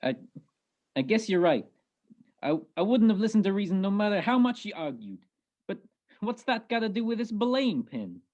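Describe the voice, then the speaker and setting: An actor playing a slow-witted, excitable police sergeant, blunt and baffled, in an old-time radio detective play. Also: male voice, high voice. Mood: puzzled. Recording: dull, lo-fi video call recording.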